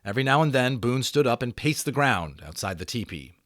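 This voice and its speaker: A man giving a dramatic audiobook reading as storyteller, with expressive, varied delivery. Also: medium pitch, a little fast, no room sound, studio quality.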